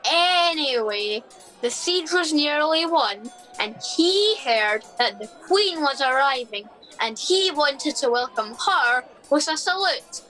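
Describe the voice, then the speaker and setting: A woman's voice: sits high, animated, slow pace, bright, forceful tone, faint music behind, full character voice, poor audio. A woman playing a proud, eager Scottish soldier in a radio comedy play.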